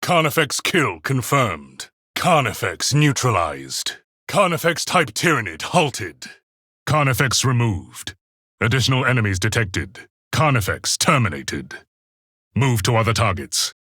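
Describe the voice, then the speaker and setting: A stern armoured space marine tactician from a video game, with a bright, forceful voice that swings widely in pitch. He reports in clipped, formal military phrases, cold and clinical. The sound is close and dry. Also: big pitch swings, measured pace, husky, no echo, exaggerated voice. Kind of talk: video game combat voice lines.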